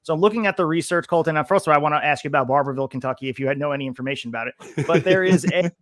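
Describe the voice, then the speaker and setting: Slightly high-voiced upbeat podcast man. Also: very fast, a bit bright, no echo, studio quality. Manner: upbeat, asks questions, reads notes aloud. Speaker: podcast host. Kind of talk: casual chat, reading from old newsletters.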